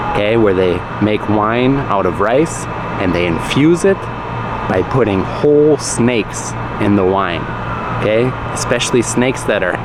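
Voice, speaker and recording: An American man speaking English as his native language, eating and talking slowly and clearly while he explains words for learners. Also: fairly low pitch, background noise. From United States. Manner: slow, deliberate, explains words as he goes. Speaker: language teacher and vlogger. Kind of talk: slow, clear English lesson vlog.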